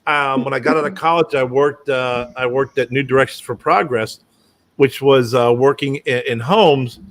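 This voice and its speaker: A man hosting a casual chat and telling lively anecdotes with many restarts. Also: medium pitch, normal speed.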